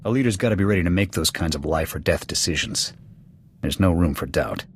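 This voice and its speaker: An actor playing a gruff, proud alien military officer in a video game, with an American accent and a blunt, clipped military delivery. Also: male voice, low voice, fairly quick, a little hiss or hum, lightly acted. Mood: challenging, serious. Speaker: soldier serving as second to his commander. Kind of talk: video game dialogue acting. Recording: background music under the dialogue.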